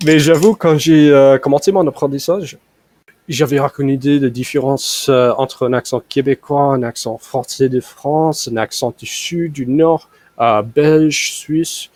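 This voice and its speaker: A man's voice: mid-range voice, steady tone, a little slow, a little mellow, no room sound. A young American man talks on a video call in learner French with a strong American accent. He hesitates often, filling gaps and restarting his sentences. His voice is dry and close, in a mid range. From United States. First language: English. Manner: hesitant, many fillers and restarts. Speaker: language learner. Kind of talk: casual conversation practice.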